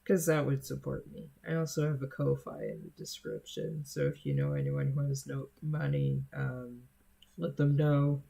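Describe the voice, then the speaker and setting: A young adult streamer, a native Spanish speaker from the United States, chatting casually with viewers in an unhurried, hesitant way with many fillers. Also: mid-range voice, sing-song, vocal fry, mellow, slightly rough.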